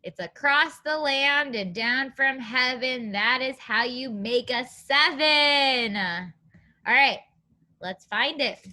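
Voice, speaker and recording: A woman leads a read-aloud lesson for small children. Her voice is fairly high and very bright, and she takes her time with each short phrase. She is encouraging and teacherly, with a sing-song way of guiding them. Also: takes it slowly. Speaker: preschool teacher reading a story aloud.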